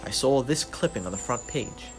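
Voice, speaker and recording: A man playing a loyal, probing best friend with measured delivery in an old-time radio drama. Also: mid-range voice, expressive, faint music behind, natural voice. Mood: concerned, probing.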